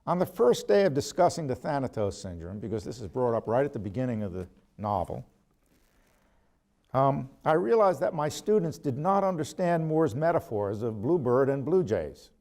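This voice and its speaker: An older man, a professor, lecturing from a prepared text in a deliberate, measured way with emphatic pauses, quotations and asides. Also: mid-range voice, big pitch swings, creaky, darkish tone. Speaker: ethics professor giving a lecture.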